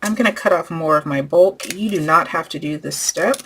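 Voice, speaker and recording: A woman hosting a craft tutorial, losing her voice and clearing her throat as she talks through each step while working. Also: low-pitched, a little fast, background noise. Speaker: crafting channel host.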